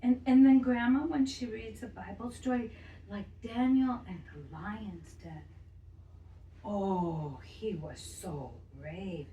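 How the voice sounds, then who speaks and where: A woman voicing a lonely little girl who misses her mother, speaking haltingly and softly. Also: mid-range voice, reverberant, recorded from a distance, a little hiss or hum, lightly acted, low-quality recording. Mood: wistful. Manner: hesitant, halting, soft.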